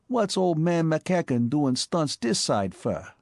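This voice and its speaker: A man voicing a brash, streetwise young male crook with a New York Bowery accent and slangy, excitable talk. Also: fairly high pitch, slow, dry recording, studio quality, voice pushed up, exaggerated voice. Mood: excited.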